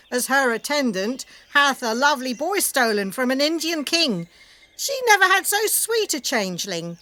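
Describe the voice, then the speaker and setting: A high, bright-edged forest sprite, acted by a woman, nimble and mischievous. The verse is declaimed with a strong rhythm, in the theatrical manner of Shakespearean radio drama. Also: full character voice.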